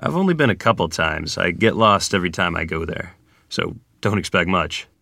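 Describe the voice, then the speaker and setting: Man acting earnest gravelly country ferryman groom. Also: low-pitched, expressive, sharp tone, no echo, studio quality, full character voice. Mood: sheepish. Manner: plain-spoken, halting, folksy. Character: earnest, awkward 1920s rural Missouri ferryman groom. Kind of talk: screenplay table read acting.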